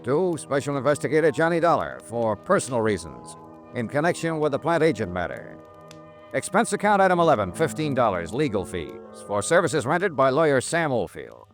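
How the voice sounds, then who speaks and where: A man's voice: mid-range voice, big pitch swings, a little fast. An American man acting a hard-boiled, stubborn insurance investigator and narrator in an old-time radio detective drama, clipped and wry. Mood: brisk, businesslike. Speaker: lead actor and narrator. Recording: vintage radio recording with music.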